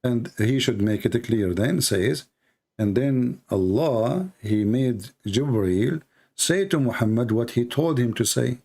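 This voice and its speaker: Male debate host, native Arabic speaker with Arabic accent, mid-pitched gravelly voice, insistent and challenging. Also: lively intonation, takes it slowly, a little mellow. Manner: insistent, challenging questioning, repeats points. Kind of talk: argumentative live religious debate.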